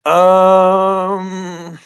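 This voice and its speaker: A woman's voice: on the low side, completely flat delivery, drawn-out words, clear, resonant tone. A woman chatting casually as a player in a tabletop game, hesitantly thinking aloud. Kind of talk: casual chat.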